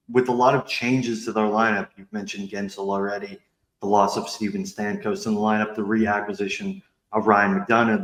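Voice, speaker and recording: Man, echoey room sound, fairly low voice, podcast host, conversational sports questions.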